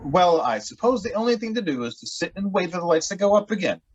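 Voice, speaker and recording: A man's voice: sits high, sing-song, fast talker. A man playing a patient young sweetheart in a radio drama, coaxing and reassuring, on a muffled recording. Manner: coaxing, old-fashioned endearments. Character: reassuring, patient young sweetheart keeping his companion calm. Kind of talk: stage-like radio drama acting. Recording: dull, muffled lo-fi audio.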